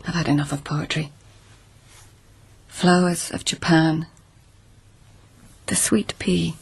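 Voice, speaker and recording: An actress playing a proud, guarded court lady in a radio drama, restrained and crisp in manner. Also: deep voice, little pitch movement, slow pace, exaggerated voice. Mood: terse, guarded.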